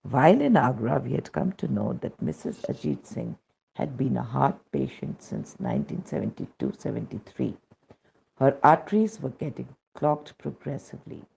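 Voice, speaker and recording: A man with an Indian accent reads a scripted devotional testimony in a measured, solemn manner, on a dull recording. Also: mid-range voice, very animated, creaky, a little mellow, husky.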